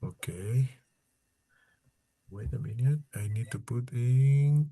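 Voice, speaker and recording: A man from Ecuador with an Ecuadorian Spanish accent, giving an informal online slide talk in halting non-native English as a tour leader. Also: medium pitch, vocal fry, warm tone, husky. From far south Ecuador. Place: indoors on a video call.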